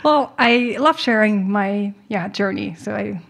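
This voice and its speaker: Woman in her late 20s, talks softly with lots of ums, laughs shyly. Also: medium pitch, measured pace, vocal fry. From Korea. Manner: soft-spoken, hesitant, many ums, laughs shyly. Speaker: guest cellist. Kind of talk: radio interview answers. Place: radio performance hall studio.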